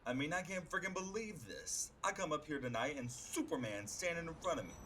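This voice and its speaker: A man's voice: fairly high pitch, animated, a little fast, fairly bright tone, slight background noise, voice pushed up. A man voicing a brash but friendly streetwise hitman in a comic dub, talking in a casual, slangy, blurting way. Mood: awestruck, flustered. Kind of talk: dramatic comic-book dub dialogue.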